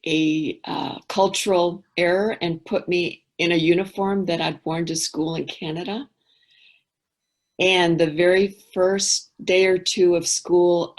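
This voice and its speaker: A middle-aged woman as an interview guest, speaking thoughtfully and candidly, often trailing off into quiet asides. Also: fairly low pitch. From England. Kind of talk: reflective interview talk.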